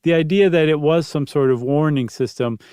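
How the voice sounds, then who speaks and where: A man's voice: fairly high pitch, fairly quick, mellow, clean tone, no room sound, clean studio sound. A man co-hosting a podcast, explaining things in a casual, chatty and descriptive way. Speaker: podcast co-host.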